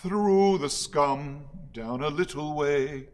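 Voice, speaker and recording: A man playing a servile, pompous orator in a radio play, declaiming poetic lines in halting, repeated phrases. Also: a little high, faint music behind. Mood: halting, solemn. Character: servile, pompous male orator pleading with his lord. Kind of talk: theatrical radio play recitation.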